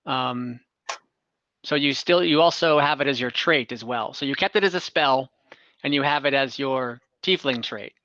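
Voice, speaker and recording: A man's voice: mid-range voice, moderate pace, pushed and bright, dry recording, highs cut off. A man acting as game master of an online tabletop game, explaining rules with many hesitations and fillers. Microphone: computer mic on a video call.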